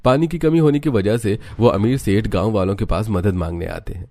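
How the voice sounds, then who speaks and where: A man's voice: lowish voice, fairly quick, darkish tone. A man narrating a scripted village story for a cartoon.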